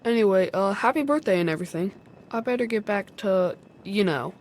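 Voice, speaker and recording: A woman's voice: mid-range voice, expressive, fairly quick, darkish tone, a little hiss or hum, close to an everyday voice. A woman playing a sheepish, polite young boy, hesitant and apologetic, in a video game. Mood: sheepish, awkward.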